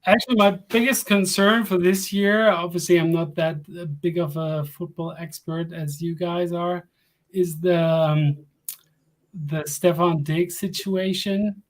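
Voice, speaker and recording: A man's voice: high-pitched, fairly even intonation, a little slow, rough recording. A middle-aged man from Germany, a native German speaker, calling in to chat about his favorite team in friendly, careful English. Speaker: caller, fan from abroad. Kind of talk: casual sports chat.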